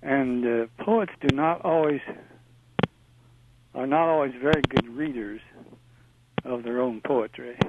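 A man's voice: medium pitch, noisy background. Older man calling in on a tinny phone line. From Indiana. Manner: halting, with many fillers. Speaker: caller. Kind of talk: call-in radio chat.

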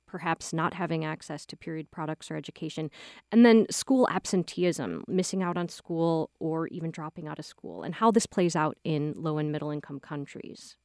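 Woman radio host, lowish mellow voice, dry close sound, measured questions. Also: no echo. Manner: clear, measured, scripted introductions. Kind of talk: public radio interview.

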